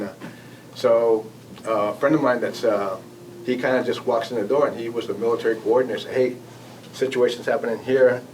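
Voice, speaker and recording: An American man giving an informal spoken recollection as a guest speaker, in a halting, conversational manner. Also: on the low side. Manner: halting, conversational, acting out quoted exchanges. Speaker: guest speaker, air traffic manager. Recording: distant mic, noisy room ambience.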